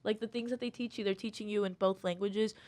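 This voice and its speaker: A young woman co-hosting a casual talk show, speaking in an animated way and thinking aloud with many restarts. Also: mid-range voice, fast. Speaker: co-host. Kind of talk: casual conversation.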